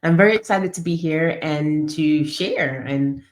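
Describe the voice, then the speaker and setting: Low-voiced American woman, chatty guest. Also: a little slow. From United States. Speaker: podcast guest, business owner. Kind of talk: casual interview storytelling.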